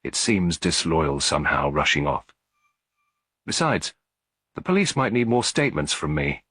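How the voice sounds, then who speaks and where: An unhappy younger woman in a detective story confides hesitantly, voiced by a male reader. The voice is subdued and low, slightly husky, with lively movement in pitch and a dry sound. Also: moderate pace, no echo, exaggerated voice. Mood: regretful, hesitant. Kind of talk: detective story character reading.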